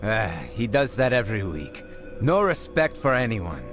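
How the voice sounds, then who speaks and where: An actor playing a friendly, conspiratorial resistance informant in a video game. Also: male voice, fairly low pitch, very animated, moderate pace, music in the background. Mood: wry, concerned. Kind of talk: dramatic video game acting.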